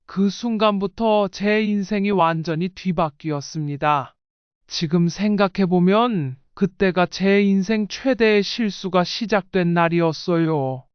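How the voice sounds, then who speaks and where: A woman's voice: on the low side, a little slow, clean tone, no echo, dull audio. A woman reading a scripted first-person life story in audiobook style. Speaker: narrator.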